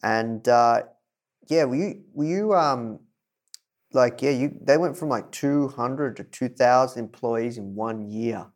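A man hosting a business podcast, energetic in his intro and hesitant with many fillers when asking questions. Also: mid-range voice, slow pace. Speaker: podcast host.

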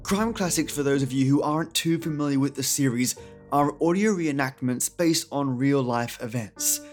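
A man's voice: medium pitch, normal speed. A man reading a scripted podcast introduction as its host, with music behind him. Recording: music under the voice. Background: music, guitar.

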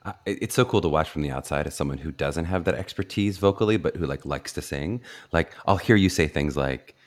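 A man's voice: a bass voice, fairly quick, no echo. A young adult man hosting a podcast, effusive and enthusiastic as he praises his guest. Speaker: podcast host, college audition coach. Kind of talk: casual podcast interview.

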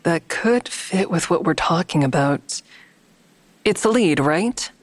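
An actress playing a wry, persistent amateur investigator in an audio drama, speaking in a matter-of-fact, persuasive way. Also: female voice, lowish voice, animated, slow, no room sound. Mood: persistent, bargaining. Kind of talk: naturalistic audio drama dialogue.

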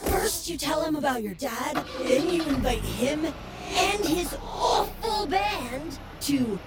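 A woman's voice: high-pitched, lively intonation, slow pace, heavy background noise, full character voice. A woman acting in an audio drama as a girl's snide, bossy, scolding inner voice. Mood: exasperated, scolding. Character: Shadow, sardonic, bossy female inner voice of a teenage girl.

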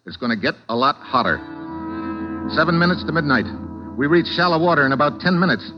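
An actor playing a tough, wry American investigator in a 1940s radio drama, speaking in a blunt, commanding way. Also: male voice, fairly high pitch, lively intonation, fairly quick, over music, natural voice. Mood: hard, pressing. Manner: blunt, hard-boiled, commanding. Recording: dull, lo-fi old radio recording.

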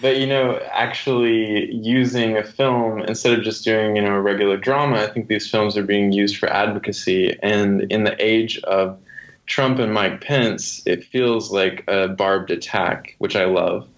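A man speaking as a guest author in an interview, answering conversationally in a thoughtful way with hesitant pauses. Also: lowish voice, fairly even intonation. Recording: dull lo-fi sound.

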